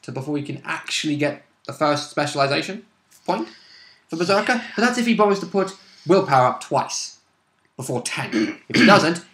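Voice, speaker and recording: A man's voice: a little high, animated, normal speed, a bit bright. A British man chatting casually about a tabletop role-playing campaign, colloquial and opinionated, with the odd mild swear. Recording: echoey room.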